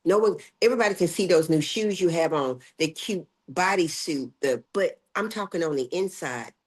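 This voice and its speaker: Older woman, health educator guest, low breathy voice, emphatic and lively. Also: normal speed. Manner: emphatic, acts out quoted voices. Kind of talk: conversational interview.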